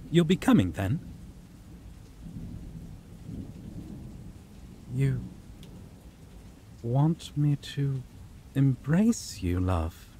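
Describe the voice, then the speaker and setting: A man's voice: medium pitch, dark tone. A man playing a possessive, coldly polite vampire captor in an ASMR roleplay, speaking formally and theatrically with menacing affection. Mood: coaxing, tender. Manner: slow, formal, theatrical, endearments laced with threat. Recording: rain and thunderstorm noise behind. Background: rain and thunder.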